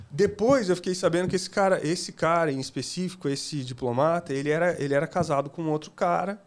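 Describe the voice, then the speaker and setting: Guy telling stories on a podcast, voice sits kinda high, acts out quotes, laughs a lot. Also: brisk. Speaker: podcast guest. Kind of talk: casual storytelling.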